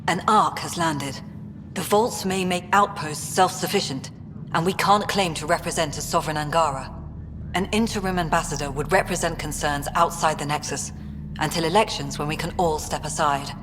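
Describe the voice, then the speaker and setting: A woman's voice: sits low, moderate pace, close to an everyday voice. An actress playing a firm, blunt female leader in a video game, formal and assertive. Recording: noisy, echoing hall, ambient sound.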